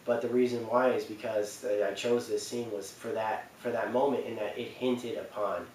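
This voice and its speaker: A man giving informal, halting commentary on video game cutscenes, repeating himself for emphasis. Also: fairly low pitch, fairly even intonation, darkish tone. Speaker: video host. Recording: echoey room, distant mic, faint noise.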